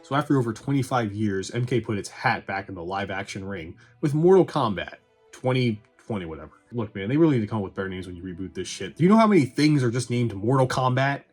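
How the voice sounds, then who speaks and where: A man with a slightly low, husky voice delivers casual review commentary. He is blunt and opinionated, swears freely and drops wry asides. Music plays behind his voice. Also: sing-song, fast, a little mellow. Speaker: video essay host reviewing movies. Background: background music.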